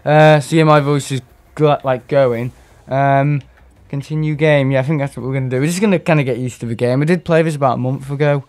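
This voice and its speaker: A hoarse man with a cough, rambling and clearing his throat as he gives casual commentary on a live gameplay stream. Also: medium pitch, fairly even intonation, a little fast, hardly any pauses, darkish tone. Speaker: gaming streamer.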